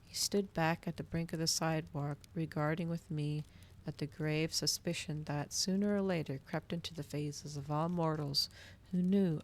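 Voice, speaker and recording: A woman reading a novel aloud in a soft, cozy ASMR style, at times slipping toward a whisper. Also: deep voice.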